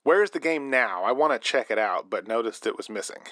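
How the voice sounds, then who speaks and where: An earnest male investigative reporter played by an actor narrates for a late-night radio drama. His voice is dry and close, with a medium pitch and a serious, scripted delivery. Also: lively intonation, brisk, fairly bright tone, dry recording, lightly acted. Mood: probing, serious. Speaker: reporter and radio show host.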